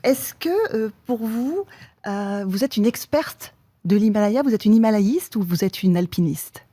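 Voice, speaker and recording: French woman hosting, with a mellow tone. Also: mid-range voice, animated, measured pace. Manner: hesitant, stumbling over words while asking. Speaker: podcast host. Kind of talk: interview questions.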